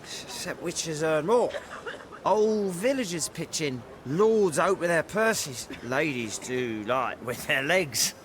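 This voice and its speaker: A man's voice: on the high side, animated, slow pace, a bit bright, a little hiss or hum. An actor playing a cocky male thug who needles a stranger for coin. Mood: wheedling. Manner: wheedling, then menacing.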